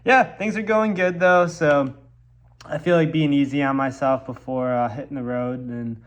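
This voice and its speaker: A man's voice: medium pitch, fairly even intonation, normal speed. A young man from Milwaukee, congested from a cold, rambling casually in a vlog with lots of ums and likes. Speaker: musician vlogger.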